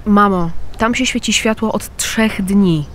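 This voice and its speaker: An actress playing a worried but determined adult daughter in a naturalistic radio crime drama. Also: female voice, medium pitch, measured pace, slight background noise, close to an everyday voice.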